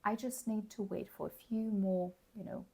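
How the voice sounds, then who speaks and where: A hoarse woman giving a slow, clear English lesson, explaining words plainly and checking in with the listener. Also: medium pitch, steady tone, a little mellow. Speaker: English teacher. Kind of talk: slow, clear teaching monologue.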